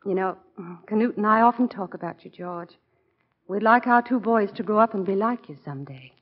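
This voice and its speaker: Actress as coach's kindly wife, American, earnest, medium pitch, 1940s radio acting, lo-fi recording. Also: female voice, brisk, natural voice. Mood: tender, admiring. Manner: gentle, earnest. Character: coach's kind, admiring wife, a mother. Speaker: actor in a radio drama. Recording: dull lo-fi 1940 radio recording.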